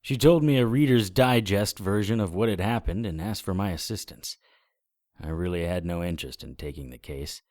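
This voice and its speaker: An actor playing a tough, wry private eye in a noir audio drama, with a deadpan delivery. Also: male voice, lowish voice, no echo, clean studio sound, lightly acted.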